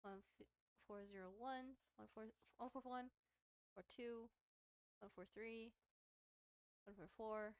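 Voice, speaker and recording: A woman's voice: on the high side, steady tone, unhurried, pauses often, clean tone, no room sound, lo-fi sound. A woman chatting casually as she hosts a gaming let's-play, rambling hesitantly and often correcting herself. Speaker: game streamer, host.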